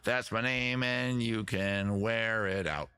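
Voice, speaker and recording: A man hosting a casual comedy music stream, rambling and joking at himself between songs while he looks up chords, with a deep voice and loud guitar playing under him. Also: steady tone, slow, smooth. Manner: rambling, self-mocking, swears casually. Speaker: host, singer and guitarist.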